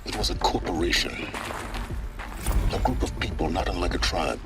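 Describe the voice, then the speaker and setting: An actor playing a mysterious male guide who speaks calmly through a device. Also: sits low, moderate pace, very noisy. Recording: game audio with loud music.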